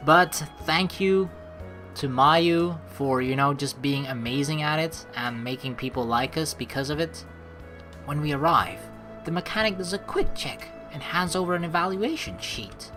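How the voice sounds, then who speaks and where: Animated low-voiced woman gamer. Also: normal speed, music in the background. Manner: expressive, playful, chatty. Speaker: let's play host. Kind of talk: gameplay commentary, reading game text aloud.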